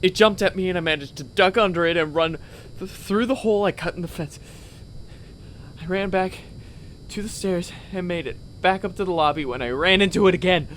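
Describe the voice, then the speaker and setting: An actor playing a frightened podcaster hiding from a creature, stammering as he hushedly recounts his escape. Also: high voice, fairly quick, a little hiss or hum. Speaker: podcaster character. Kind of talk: radio drama acting.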